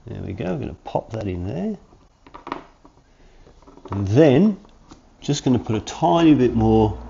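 A man in his forties with a cold and sniffles, chatting through a hands-on workshop tutorial in a relaxed, rambling way from his home garage. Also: deep voice, creaky, lo-fi sound, slight background noise. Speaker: workshop channel host.

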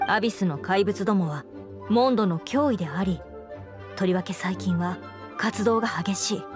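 A responsible female knight commander from an anime game, acted by a woman, speaks at a medium pitch with composed authority. The tone is formal and firm but caring, with music clearly behind. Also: normal speed, lightly acted. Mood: grave. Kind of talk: anime video game voice acting. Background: background music.

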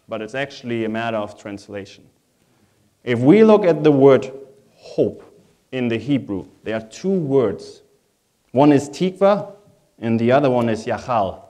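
A man's voice: medium pitch, lively intonation, normal speed, dark tone. A man with a German accent, a native German speaker, preaching a sermon as a pastor in a reflective, conversational way, repeating phrases for emphasis.

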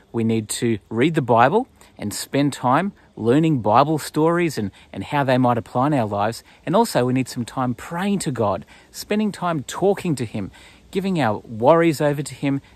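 A man in his forties with an Australian accent and a husky voice, giving a simple explanatory nature talk for children outdoors. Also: medium pitch, expressive, normal speed, dry recording. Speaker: pastor presenting a children's story. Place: outdoors in bushland.

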